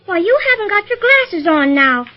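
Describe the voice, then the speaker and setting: Little girl, very high voice, nosy, persistent, radio drama, muffled vintage recording. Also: sing-song, moderate pace, faint music behind. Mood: curious. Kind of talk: old-time radio serial acting.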